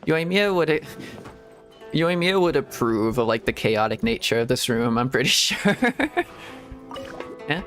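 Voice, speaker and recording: A man's voice: medium pitch, lively intonation, measured pace, pauses often, a bit bright, faint music behind. A man streaming a game, rambling to himself in casual commentary and giggling often. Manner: rambling self-talk with frequent giggles. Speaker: VTuber streamer.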